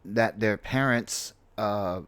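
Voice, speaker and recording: A man in his late forties from New York City with an American accent, giving an unscripted personal opinion in a halting, reflective manner and repeating himself for emphasis. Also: on the low side, a bit bright, no echo. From New York City, United States. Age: late 40s. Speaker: streamer, content creator.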